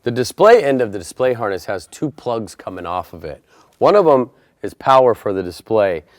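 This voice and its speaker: A man's voice: lowish voice, very animated, moderate pace, no echo. A man presenting a how-to installation tutorial, explaining each step in a plain, methodical way. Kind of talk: step-by-step instructional narration.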